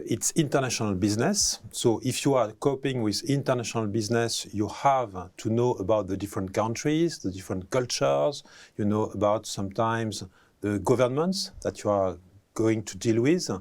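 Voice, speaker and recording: A man, a professor answering interview questions, pausing often with fillers and restarting phrases. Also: medium pitch, animated, a little mellow. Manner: hesitant, many fillers and restarts.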